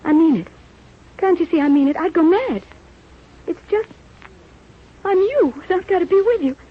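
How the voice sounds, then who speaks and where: An actress playing a devoted, stubborn young wife, impassioned and insistent, in an old radio drama. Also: female voice, extremely high pitch, expressive, normal speed. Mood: pleading. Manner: impassioned, insistent, stammering with feeling. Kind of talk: 1930s radio drama acting. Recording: muffled, noisy old radio recording.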